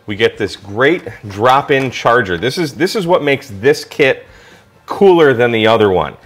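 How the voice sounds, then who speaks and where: A man's voice: medium pitch, animated, unhurried, slightly rough. A man with an American accent giving a casual hands-on gadget review in an enthusiastic, colloquial way. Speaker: product reviewer.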